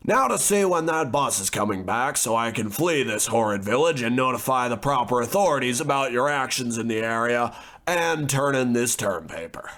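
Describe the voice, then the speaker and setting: Priggish, fussy gentleman scholar, played by a man. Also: mid-range voice. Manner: fussy, haughty, hesitant. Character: priggish, educated, appalled gentleman student researcher. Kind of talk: old-time radio horror comedy acting.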